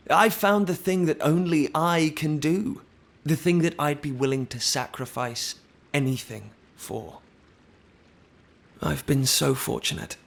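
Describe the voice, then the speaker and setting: An actor playing an earnest, spirited young runaway prince turned guardian, in an expressive video game performance. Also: male voice, mid-range voice, gravelly. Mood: solemn, heartfelt. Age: young adult. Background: orchestral music.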